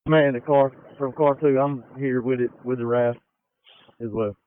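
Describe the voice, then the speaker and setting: A man's voice: medium pitch, fairly even intonation, normal speed, clean tone. A man, an emergency responder, making brief calls over two-way radio. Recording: dull two-way radio with noise and clicks.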